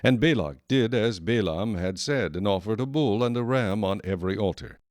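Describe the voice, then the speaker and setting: A man reading scripted scripture narration in a measured, solemn storytelling manner. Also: fairly low pitch, darkish tone.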